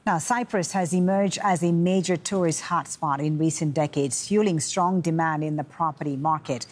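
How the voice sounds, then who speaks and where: A woman anchors a news bulletin in a scripted, formal read. Her voice sits very low for a woman and has a distinctly husky grain. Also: normal speed. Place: broadcast studio.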